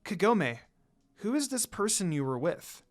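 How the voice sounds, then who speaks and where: A man's voice: high-pitched, sing-song, unhurried. A man voicing a concerned, curious young woman in a dramatic story reading.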